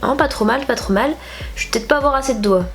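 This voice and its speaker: A woman's voice: high voice, quick pace, soft background music. A young woman with a cold and a nasal voice, chatting casually through a makeup tutorial with lots of fillers. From France. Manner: chatty, rambling with many fillers. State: stuffy nose from a cold. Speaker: beauty vlogger.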